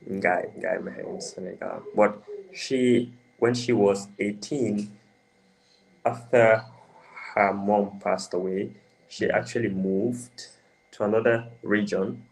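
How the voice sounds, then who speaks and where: Young guy from the gambia, low gravelly voice, keeps restarting his sentences. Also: fairly even intonation, slow, low-quality recording. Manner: hesitant, many restarts and fillers. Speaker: interview guest. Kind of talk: casual interview answers.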